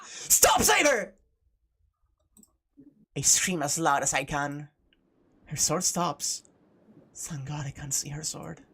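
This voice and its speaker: A woman's voice: low-pitched, fairly even intonation, measured pace, vocal fry, long pauses, fairly bright tone, breathy edge. A woman hosting a playthrough, reading a visual novel aloud with informal, sweary, jokey asides. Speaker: host of a game playthrough. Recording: music playing behind the voice. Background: game music.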